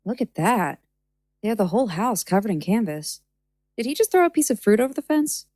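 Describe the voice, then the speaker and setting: A woman's voice: medium pitch, sing-song, brisk, dark tone, dry recording, clean studio sound. A woman playing a chatty, polite stage magician with casual, slightly hesitant phrasing in a naturalistic audio drama. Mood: curious.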